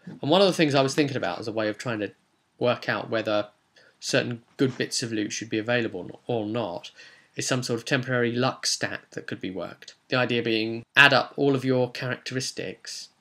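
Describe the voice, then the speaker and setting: A man's voice: medium pitch, fairly quick, a bit bright. A man rambling hesitantly as a game master, casually recapping a tabletop role-playing session and often correcting himself.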